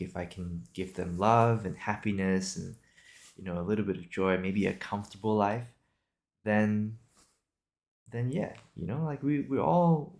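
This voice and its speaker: A young man with a deep voice and a lot of vocal fry talks casually to his podcast audience. He improvises as he goes, hesitating and circling back as he thinks out loud. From Singapore and Australia. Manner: rambling, hesitant, thinking aloud. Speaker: video podcast host. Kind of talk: casual improvised solo chat.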